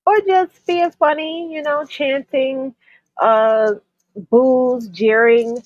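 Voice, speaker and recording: Female co-host from New York, stuffy with a cold, slow and rambling, dull dry lo-fi sound. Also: high voice, steady tone, dry recording. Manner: chatty, with frequent ums and restarts. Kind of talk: casual chat.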